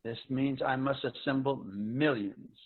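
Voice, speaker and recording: A man's voice: mid-range voice, unhurried, natural voice. Phone-thin recording; male actor as an earnest, formal space commander in a pulp sci-fi radio-style reading. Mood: worried, hesitant. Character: earnest male space officer pledging to a faint-hope mission. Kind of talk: dramatic reading of pulp science fiction. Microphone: video call. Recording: thin phone-like video call audio.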